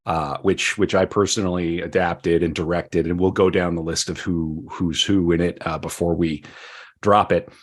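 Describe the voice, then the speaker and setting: Male podcast host, lower register, wry and joking, conversational with stop-start pauses. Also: fairly even intonation. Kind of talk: casual podcast talk.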